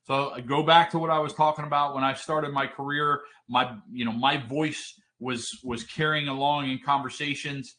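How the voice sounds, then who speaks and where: A man with a deep, commanding voice teaching a webinar, explaining points steadily and often checking in with a quick "right?". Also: fairly even intonation, sharp tone. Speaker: trainer. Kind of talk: webinar lecture.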